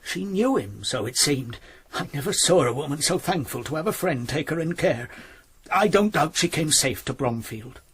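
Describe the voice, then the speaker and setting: An actor playing an earnest, weary peasant farmer in a radio drama, plain-spoken and a little halting. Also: male voice, on the high side, expressive, fairly bright tone, husky, exaggerated voice. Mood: sombre recounting.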